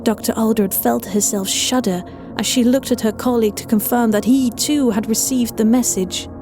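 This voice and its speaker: A woman narrating a scripted horror story in a measured, dramatic storytelling manner. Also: on the high side, steady tone. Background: faint music.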